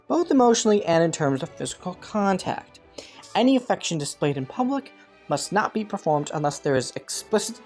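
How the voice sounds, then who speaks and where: Young prince female performer: low voice, courtly and calculating yet easily flustered, intimate roleplay addressed to the listener, music underneath. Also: darkish tone. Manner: formal courtly phrasing with flustered stammers.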